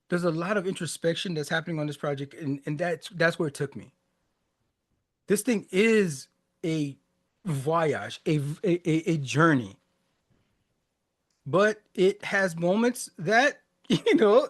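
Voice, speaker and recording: A man in his forties, born in Haiti and raised in the United States, talking casually and reflectively as a podcast host, laughing often. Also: sits high. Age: 40s. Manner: laughs often, loose and conversational, many restarts and fillers. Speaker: music reaction podcast host.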